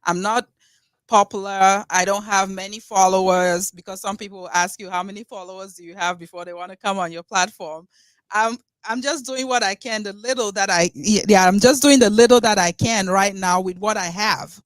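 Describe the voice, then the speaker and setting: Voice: medium pitch, fairly even intonation, normal speed, smooth, dry recording. A podcast host leading a casual interview, rambling a little and restarting sentences often. The voice sits in the middle range. The sound is dry and close, with no room around it. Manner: warm-hearted, rambling, with frequent restarts and laughs.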